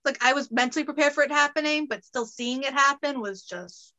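A pregnant woman in her late twenties chatting casually over a video call from home, laughing often and breaking into quick asides. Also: high-pitched, bright, forceful tone. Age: late 20s. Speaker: guest costumer. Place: home room, indoors.

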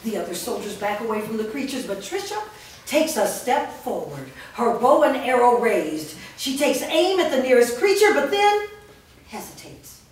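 A woman's voice: fairly high pitch, moderate pace, a little hiss or hum. A woman narrating stage directions steadily at a staged script reading. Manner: steady, descriptive. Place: large studio room. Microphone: far camera mic. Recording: echoey room from far off.

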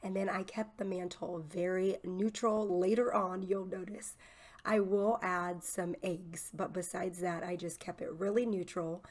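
A woman's voice: lowish voice, fairly even intonation, unhurried, darkish tone. A woman in her thirties from Southern California, chatting upbeat in a home vlog from her living room, her voice still a little off from a cold. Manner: upbeat and chatty, with frequent "um" fillers. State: voice slightly off while getting over a cold. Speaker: home vlogger, mom. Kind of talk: casual cleaning and decorating vlog.

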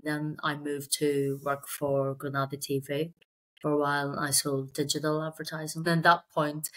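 Low-voiced middle-aged Belfast woman. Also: a bit bright. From Belfast, Northern Ireland. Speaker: sales executive, interview guest. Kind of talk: conversational personal career story in interview. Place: indoor room on a video call.

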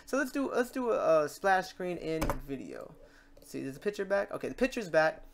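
A man hosting a software tutorial in a casual, chatty way, talking through each step aloud. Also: high voice, very animated, moderate pace, vocal fry, noisy background. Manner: informal, chatty, talks through steps aloud. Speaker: tutorial host.

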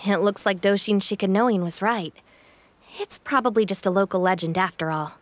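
An actress playing a calm, polite young woman who is organizing an event in a video game. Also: mid-range voice, moderate pace, close to an everyday voice. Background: game music.

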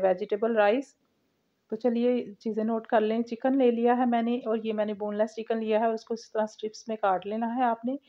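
Higher-pitched woman narrating a recipe, dull sound. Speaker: cooking channel host. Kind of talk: recipe voice-over, step-by-step instruction. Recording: dull, lo-fi home recording.

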